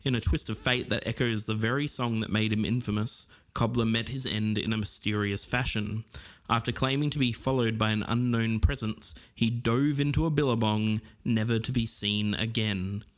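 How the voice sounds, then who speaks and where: A man co-hosts a storytelling podcast. His voice is a little low in pitch, and he narrates in a semi-scripted way. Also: steady tone, moderate pace. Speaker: podcast co-host.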